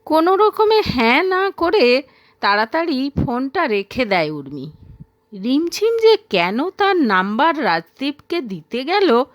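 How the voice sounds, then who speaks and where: Female audio-story narrator: high voice, unhurried pace, expressive scripted delivery. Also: no room sound.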